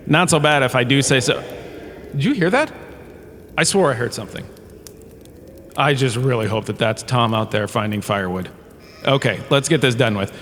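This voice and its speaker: A man's voice: mid-range voice, a bit bright, lightly acted. A man playing a washed-up holodrama star who lectures in a comedic audio drama, chatty and wry, with theatrical storytelling. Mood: chatty, uneasy. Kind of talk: comedic scripted lecture narration. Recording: long reverberant hall, faint ambient noise.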